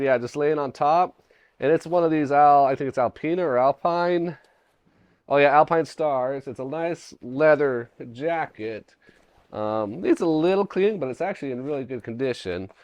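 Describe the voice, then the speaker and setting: A middle-aged man with a hoarse voice after a cold, hosting a casual unboxing vlog and rambling aloud as he digs through a storage locker, recorded on a chest-mounted camera in a dim indoor room. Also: fairly high pitch, expressive, moderate pace, darkish tone, dry recording. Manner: rambling, thinking aloud while digging through items. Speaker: storage locker reseller, channel host.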